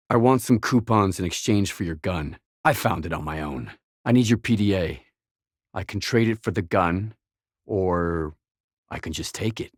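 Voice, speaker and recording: An actor playing a gruff, guarded, hard-bitten mercenary in a video game, speaking in terse, blunt, businesslike lines. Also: male voice, deep voice, dry recording, studio quality. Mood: hard, threatening.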